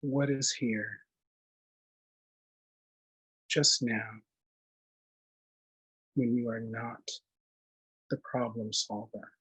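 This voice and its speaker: A man leading a guided meditation in a gentle, unhurried manner, leaving long pauses between short phrases. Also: medium pitch, steady tone, husky, low-quality recording. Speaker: meditation instructor.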